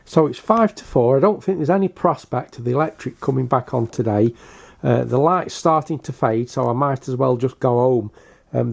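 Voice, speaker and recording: A man's voice: mid-range voice, creaky, a little mellow. An older British man giving a casual show-and-tell commentary in a hesitant, matter-of-fact way. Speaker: hobbyist video presenter.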